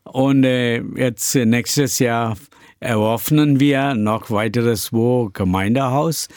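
A middle-aged Indian pastor whose first language is Tamil answers interview questions in a halting way, pausing often with many hesitations and simple grammar. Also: male voice, medium pitch, darkish tone, no echo, studio quality. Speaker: pastor and church planter.

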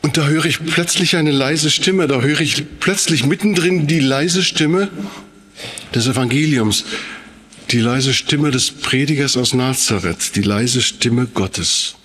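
A man's voice: mid-range voice, unhurried, a bit bright. Man preaching with rhetorical emphasis. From Sauerland, Germany. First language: German. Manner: rhetorical, emphatic, with repetition and questions. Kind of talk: sermon-like public talk.

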